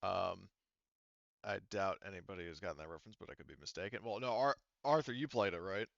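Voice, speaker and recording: A man speaking as game master, improvising tabletop game narration in a casual, halting, rambling way and often hedging. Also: sits low, creaky, fairly bright tone, dry recording, dull audio.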